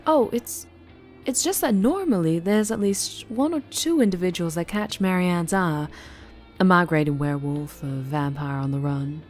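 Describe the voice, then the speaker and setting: A woman playing a self-assured, flirtatious female vampire boss with a Southern US accent in an unhurried ASMR roleplay drama. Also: fairly low pitch, darkish tone, soft background music, natural voice. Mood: puzzled. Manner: teasing, pet names, unhurried.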